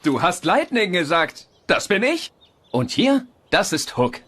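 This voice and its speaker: A young cartoon race car, boyish n confident, bright voice, jumps all over in pitch. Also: male voice, big pitch swings, a little slow, exaggerated voice. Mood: friendly, curious. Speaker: voice actor in German dub. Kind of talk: animated cartoon dialogue.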